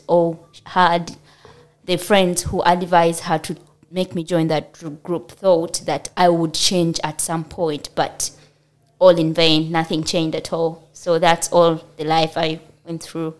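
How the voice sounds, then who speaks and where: Slow, flat-voiced Ugandan woman. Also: on the low side. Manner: hesitant, pauses with many fillers. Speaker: podcast guest. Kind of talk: personal storytelling in an interview.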